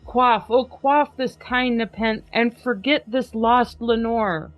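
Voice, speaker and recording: A woman reads verse aloud with slow, deliberate emphasis, drawing each line out. The audio is dull and lo-fi, like a video call, with faint music beneath her voice. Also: fairly high pitch. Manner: slow, emphatic dramatic reading. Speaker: podcast host. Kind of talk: dramatic poetry reading.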